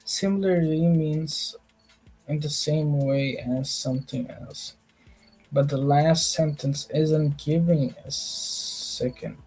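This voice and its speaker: A sleepy young man livestreaming his late-night test study, mumbling through answers aloud with halting restarts, from a small room at night. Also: fairly high pitch, darkish tone, soft background music, poor audio.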